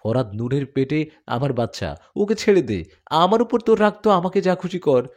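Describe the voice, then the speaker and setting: A man's voice: a little high, very animated, normal speed, voice pushed up. A man voicing a devoted young male jinn warrior who pleads and argues in a spoken story. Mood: earnest. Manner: earnest, pleading.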